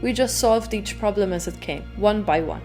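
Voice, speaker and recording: A woman delivers scripted video essay narration at a medium pitch. Her delivery is conversational and rhetorical. Soft music plays faintly behind her. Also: moderate pace. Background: background music.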